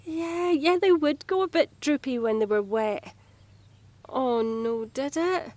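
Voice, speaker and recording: An actress playing a friendly, chatty, gently teasing Scottish home carer in an audio drama. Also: female voice, high voice, lively intonation, slow, dry recording. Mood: apologetic, soothing.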